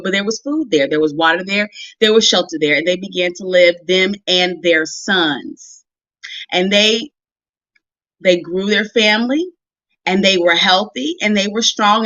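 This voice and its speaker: A woman's voice: medium pitch, fairly bright tone. An American woman preaching in a conversational storytelling style, folksy and emphatic, repeating phrases for emphasis. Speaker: minister and show host preaching. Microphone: livestream audio. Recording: roomy, slightly dull livestream audio.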